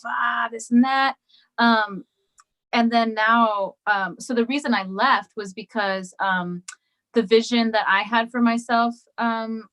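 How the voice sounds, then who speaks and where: A woman's voice: a little high, sharp tone. A woman singer as a guest, talking casually in an interview with frequent ums and restarts. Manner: hesitant, many ums and restarts.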